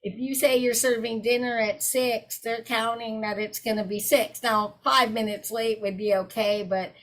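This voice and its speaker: A woman's voice: on the high side, steady tone, moderate pace, poor audio. Brief: woman, webinar presenter, direct conversational delivery over a video call. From South Carolina. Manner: warm, direct, addresses audience as y'all.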